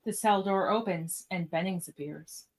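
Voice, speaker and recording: A woman's voice: on the low side, normal speed, a little hiss or hum. A woman reading scripted stage directions as the narrator of a podcast radio play.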